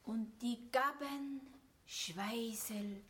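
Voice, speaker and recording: Woman acting a very slow, sharp-voiced eerie storyteller. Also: on the high side, a little hiss or hum. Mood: eerie, hushed. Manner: drawn-out, dramatic, often dropping into whispers. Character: eerie storyteller of a creepy nonsense ballad. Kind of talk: slow eerie poetry recitation in an amateur horror audio play.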